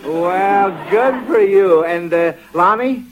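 A male radio host with a notably high voice, speaking on an old 1940s broadcast. He leads a panel of children in an encouraging way, prompting each one in turn. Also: slow pace, phone-quality sound. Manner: encouraging, chuckling remarks to children. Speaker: quiz show host.

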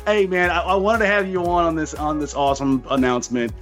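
A man hosting a casual interview, speaking in an informal, halting, familiar way, on slightly dull audio. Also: fairly high pitch, steady tone, fairly bright tone, faint music behind. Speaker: podcast host.

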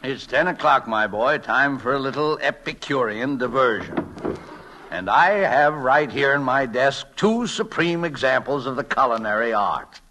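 Older male telegraph operator: grandiloquent, formal, bright-voiced, vintage radio drama. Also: mid-range voice, expressive, measured pace, slight background noise, rough recording. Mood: jovial, ceremonious. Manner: florid, grandiloquent, courtly phrasing. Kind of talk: 1940s-50s radio drama acting.

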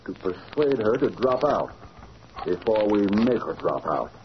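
A man's voice: fairly low pitch, sing-song, unhurried. A man playing a domineering crooked businessman in a 1940s radio drama, commanding and contemptuous. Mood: commanding, menacing. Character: domineering crooked businessman, a cold scheming boss. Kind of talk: 1940s radio villain acting. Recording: muffled, noisy old radio recording.

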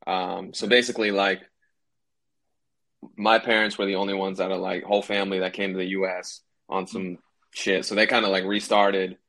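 A man's voice: deep voice, little pitch movement, moderate pace, fairly bright tone. A young man from New Hampshire, a rapper guesting on a podcast, talking in a laid-back way with lots of fillers. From New Hampshire, USA. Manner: laid-back, uses lots of fillers like "like" and "you know what I mean". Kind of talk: casual podcast interview.

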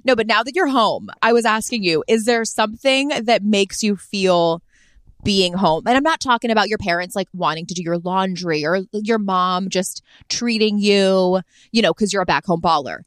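A woman in her twenties chatting as a podcast co-host, upbeat and quick to agree, with a playful streak. Her voice is mid-pitched. It is recorded close and dry. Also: no room sound. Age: 20s. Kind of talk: casual chat.

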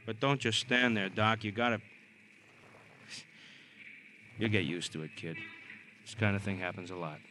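A man's voice: fairly low pitch, steady tone, a little fast, loud music behind the voice, natural voice. An American man playing a seasoned, matter-of-fact police detective in a vintage radio crime drama, plainspoken and clipped. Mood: gruffly reassuring. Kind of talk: 1940s-50s radio crime drama acting. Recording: noisy old radio recording.

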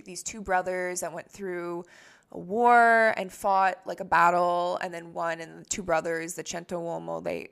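A woman's voice: lowish voice, bright, forceful tone. A Canadian woman in her thirties, co-hosting a podcast in a casual, rambling chat full of "like" fillers. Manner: chatty, rambling, frequent "like" fillers. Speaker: podcast co-host.

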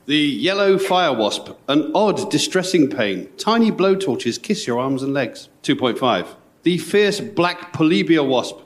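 A man's voice: fairly high pitch, big pitch swings, unhurried. A man reading short poetic descriptions dramatically at a live event.

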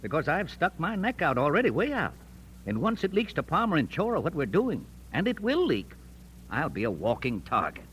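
A man's voice: on the high side, huge pitch swings, normal speed, a bit bright. An actor playing an amiable, eccentric, stubborn frontier newspaperman with a folksy, easygoing manner in a 1940s radio drama. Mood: earnest. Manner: folksy, easygoing, drawling old-West turns of phrase. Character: amiable, eccentric, stubborn crusading newspaperman in 1850s San Francisco. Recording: noisy old radio broadcast recording.

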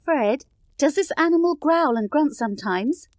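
Woman playing high-voiced inquisitive lady. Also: animated, moderate pace, dry recording, studio quality. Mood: playful curiosity. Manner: questioning, playful. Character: inquisitive, playful lady party guest. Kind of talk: audio drama acting.

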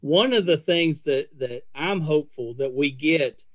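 A middle-aged man hosting a casual podcast interview, speaking in a gushing, effusive way with halting phrasing. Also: fairly high pitch, lively intonation, no echo. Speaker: podcast host interviewing guests.

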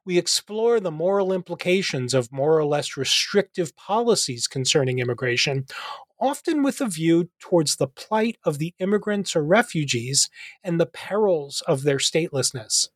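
A man hosting a philosophy podcast interview, reading a measured introduction and then questioning his guest. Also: high voice, no echo, clean studio sound. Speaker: podcast host, philosophy professor.

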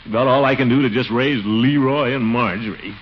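An actor playing a flustered, pompous middle-aged uncle and commissioner in a blustering, sputtering old radio comedy style. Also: male voice, mid-range voice, a little fast, close to an everyday voice. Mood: worried, hesitant. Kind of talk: 1940s-50s radio comedy acting. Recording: noisy, muffled old radio broadcast.

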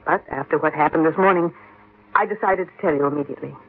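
A woman's voice: low voice, fast, slightly rough. An actress playing a composed, firm stepmother in an old-time radio drama. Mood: earnest, composed. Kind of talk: 1940s-50s radio drama acting. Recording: tinny old radio recording.

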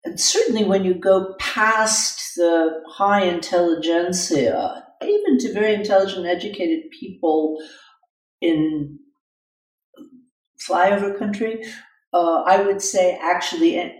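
A woman's voice: fairly low pitch, slow. Older woman academic, distant and echoey. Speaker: guest, moral philosopher and author. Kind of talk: thoughtful interview talk. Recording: echoey room, distant microphone.

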